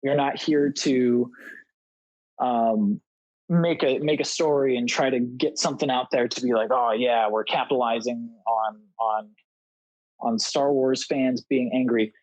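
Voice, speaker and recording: A man chatting casually as a podcast guest, halting and repeating words as he goes. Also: mid-range voice, fairly even intonation, a little mellow, no echo, rough recording. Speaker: podcast guest, audio drama creator.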